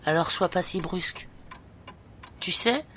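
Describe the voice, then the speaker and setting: A woman's voice: fairly low pitch, animated, a little slow, fairly bright tone, a little hiss or hum. A woman playing a caring, frank and bossy young student friend, coaxing bluntly in informal audio drama dialogue. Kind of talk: amateur French audio fiction dialogue.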